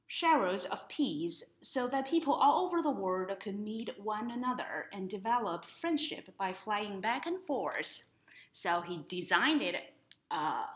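Young female storytime reader, slow and expressive, very animated melody, mid-range pitch, explains as she goes. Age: young adult. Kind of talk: children's picture book read-aloud with explanatory asides. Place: indoors in front of a painted woodland mural.

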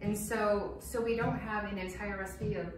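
A woman's voice: medium pitch, normal speed. A woman in her thirties demonstrating a recipe in a home kitchen, chatting casually as she cooks. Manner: relaxed, chatty, explains while cooking. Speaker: cooking demonstrator. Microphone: far camera mic. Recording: echoey kitchen, distant mic, noisy.

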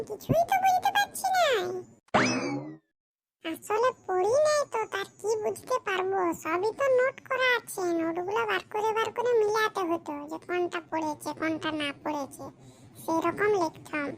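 Very high-pitched grumbling cheeky schoolboy. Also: expressive, normal speed, background noise. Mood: dismayed. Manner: colloquial, grumbling, mildly foul-mouthed. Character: cheeky grumbling schoolboy, unprepared for exam, scheming to cheat. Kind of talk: comedy cartoon monologue.

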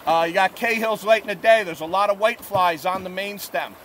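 A man in his forties giving a relaxed, plainspoken fishing report from a drift boat on a river. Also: sits high. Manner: relaxed, plainspoken, filler-heavy. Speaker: fishing guide. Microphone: camera mounted on the boat at arm's length. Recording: faint water and outdoor noise. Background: rushing river water.

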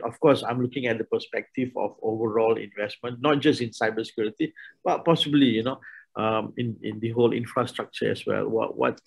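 Middle-aged male moderator on a video call; gravelly, hesitant delivery. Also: medium pitch, low-quality recording. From Malaysia. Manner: hesitant, many restarts. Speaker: panel moderator. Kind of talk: online panel discussion. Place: small room indoors.

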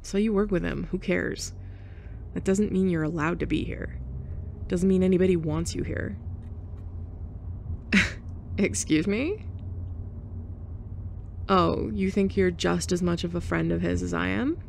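A sarcastic, tough-talking young woman who used to be the bully, voiced by a woman in an audio roleplay. Her voice sits low and gravelly, and she talks slowly and bluntly with heavy sighs. A faint hiss sits under the recording. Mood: scornful. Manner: sarcastic, blunt, sighing and scoffing. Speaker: ASMR roleplay performer. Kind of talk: F4M ASMR roleplay.

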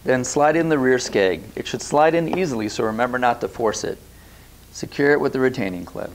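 Gravelly man giving calm instructions. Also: mid-range voice, a little fast, a little hiss or hum. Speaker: product demonstrator. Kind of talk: scripted instructional voice-over.

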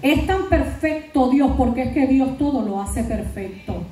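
High-voiced woman pastor preaching in echoing hall. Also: animated, unhurried, dark tone. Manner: fervent preaching peppered with hallelujahs. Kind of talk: sermon. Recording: very echoey hall, voice from far off.

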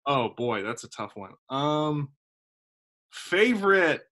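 A man in his thirties, originally from South Carolina, talks casually about his work over a video call from home. He fills pauses with ums and jokes at his own expense. His voice is bright and forward. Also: fairly high pitch, sing-song. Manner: chatty and self-deprecating, with many ums and uhs. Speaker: theater director and playwright. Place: home room with windows. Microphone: video call laptop mic.

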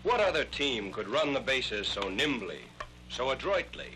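A man's voice: medium pitch, very animated, measured pace, bright, forceful tone. An American man narrating a scripted 1940s sports newsreel in a jaunty, wry, wisecracking manner. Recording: noisy vintage 1940s newsreel soundtrack.

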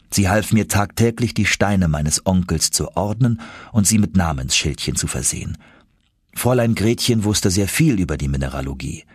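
A man's voice: sits low, slightly rough, no echo, studio quality. A man reading scripted first-person literary narration as an audiobook narrator.

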